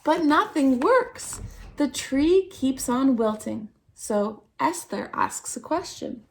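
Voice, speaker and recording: A woman reading a children's picture book aloud as a storytime host, expressively, with theatrical, drawn-out emphasis on key words. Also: high-pitched, animated, gravelly. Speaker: storytime reader at a dance centre.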